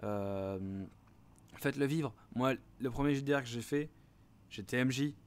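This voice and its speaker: A man's voice: mid-range voice, a little slow, clear, resonant tone. A young man co-hosting a casual chat, talking in a slangy, rambling way. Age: young adult. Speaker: co-host.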